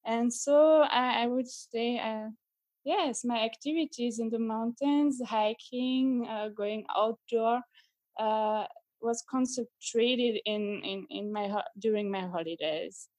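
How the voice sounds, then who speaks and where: Lady guest, slow and hesitant, lots of uhs, higher voice, dry sound. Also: a little mellow, no room sound. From Switzerland. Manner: hesitant, many fillers, laughs as she talks. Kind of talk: casual interview.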